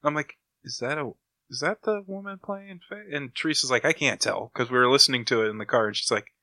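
Bright-voiced man, casual podcast co-host. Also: mid-range voice, fast, no echo, studio quality. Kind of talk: casual chat.

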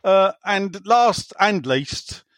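A man's voice: sits high, animated, extremely slow, a bit bright, no room sound, studio quality. A man hosting a casual podcast over a call, teasing his co-hosts and talking in stop-start bursts with lots of fillers. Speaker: podcast host standing in. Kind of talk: casual chat, jokey.

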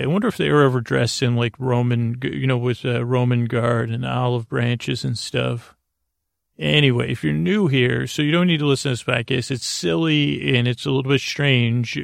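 A man with a gravelly, creaky voice hosting a sleep podcast, rambling in a halting, whimsical way with silly asides and self-interruptions. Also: mid-range voice, fairly even intonation, no echo, clean studio sound. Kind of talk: rambling, whimsical bedtime monologue.

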